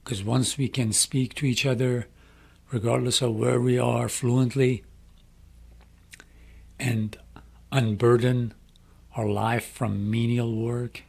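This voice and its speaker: A middle-aged man with an Iranian accent speaks English slowly and deliberately, leaning on each phrase. His voice is gravelly and somewhat husky. He sounds like he is making an earnest inspirational appeal. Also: medium pitch, little pitch movement, a little mellow. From Iran. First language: Farsi. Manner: slow, deliberate, emphatic phrasing. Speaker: speaker addressing young people.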